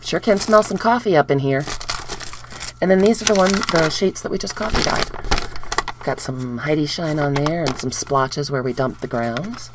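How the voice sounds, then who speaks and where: A woman's voice: low-pitched, normal speed, background noise. A woman hosting a casual craft tutorial, chatting upbeat with viewers while showing her dyed papers at a table. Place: indoors at a wooden craft table.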